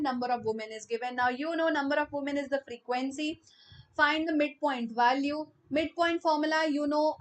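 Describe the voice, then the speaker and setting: A young woman with an Indian English accent teaching maths in a live online class, loud and chatty, reading out students' messages and slipping into Hindi. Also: high-pitched.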